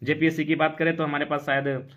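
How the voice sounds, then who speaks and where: Insistent Jharkhandi man, higher voice, dull audio. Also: flat delivery, fast, fairly bright tone. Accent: Jharkhandi Hindi. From Jharkhand, India. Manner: insistent, argumentative, full of rhetorical questions. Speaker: political activist. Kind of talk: impassioned political talk on a live stream, answering comments. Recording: dull, lo-fi sound.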